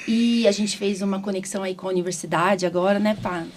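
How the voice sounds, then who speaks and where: A woman's voice: mid-range voice, steady tone, quick pace. Chatty woman hosting, some background noise. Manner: chatty, informal, often seeking agreement. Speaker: podcast host, doctor. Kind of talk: informal podcast hosting. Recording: clearly audible background room noise.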